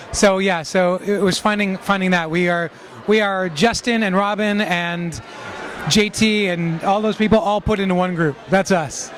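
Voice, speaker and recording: A man's voice: high-pitched, fairly even intonation, unhurried, a bit bright, slight background noise. A male pop singer answering interview questions casually, rambling and restarting in a friendly way. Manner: rambling, self-correcting, friendly.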